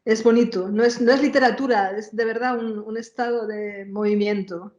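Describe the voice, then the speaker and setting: A woman's voice: a little high, steady tone, normal speed, darkish tone. A woman answering as an interview guest in a conversational way, searching for words and reformulating as she goes. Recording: roomy, dull lo-fi sound.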